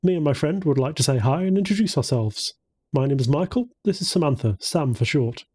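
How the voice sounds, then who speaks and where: A man's voice: on the high side, animated, a little fast, darkish tone, husky. A man voicing a friendly, confident male friend in an audiobook, charming and nonchalant.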